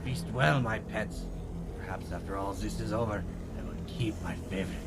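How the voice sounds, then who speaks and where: A man's voice: fairly low pitch, moderate pace, over loud music, natural voice. A man playing a menacing mad scientist villain in an audio drama, gloating and theatrical with mock politeness.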